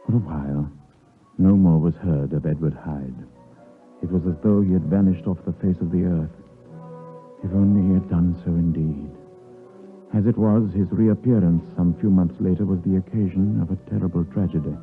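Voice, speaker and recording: An actor playing a respectable but troubled British doctor in a 1950s radio drama, formal and halting, gravely earnest. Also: male voice, sits low, faint music behind. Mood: grave narrating. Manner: formal, halting under questioning. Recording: muffled, lo-fi 1950s radio broadcast.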